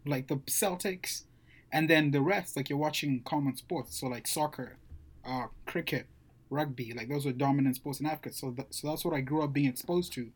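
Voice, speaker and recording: A man's voice: a little high, normal speed, breathy edge. A young man from Zimbabwe sharing his background in a casual podcast chat, using easy slang. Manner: casual slang, addresses friends as bro.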